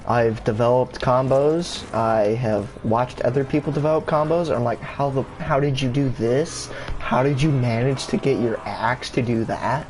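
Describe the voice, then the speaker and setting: A young man talks slowly at his gaming setup at home, rambling through his thoughts with plenty of ums and restarts and an easy habit of swearing. His pitch leaps up whenever he gets worked up, then drops back. A clear, steady hiss of background noise sits under his voice from the console camera mic. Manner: rambling, many fillers and restarts, swears casually; pitch jumps up when he gets excited. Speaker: gaming YouTuber. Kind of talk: casual rambling vlog chat.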